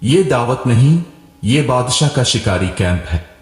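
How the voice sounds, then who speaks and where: A man's voice: mid-range voice, lively intonation, unhurried, natural voice. A man voicing an exasperated, cautious young village friend in a storybook tale. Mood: alarmed, exasperated. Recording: roomy echo with long reverb, music behind.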